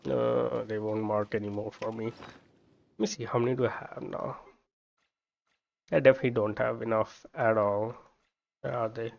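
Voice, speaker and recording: Male streamer, gravelly and musing, casual play-by-play, slightly muffled recording. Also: medium pitch, fairly even intonation, normal speed, long pauses. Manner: musing, thinking aloud while playing. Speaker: game streamer. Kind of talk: casual gameplay commentary. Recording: dull lo-fi microphone sound. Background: game music.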